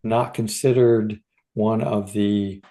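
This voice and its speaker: A man's voice: fairly low pitch, level tone, slow pace, dark tone. A man co-hosting a podcast in casual, unscripted conversation, tentative and often searching for his words. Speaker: podcast co-host.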